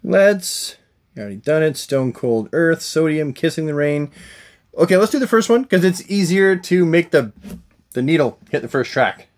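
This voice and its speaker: A man's voice: fairly high pitch, lively intonation, normal speed. A man in his forties casually reviewing records, thinking aloud with enthusiasm as the host. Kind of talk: casual unboxing and music review chat. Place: small indoor room full of collectibles. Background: rock music from a record player.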